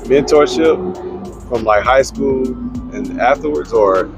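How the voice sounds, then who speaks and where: A man's voice: medium pitch, slow, creaky, music in the background. A man interviewing a guest, asking easygoing questions and giving brief affirmations.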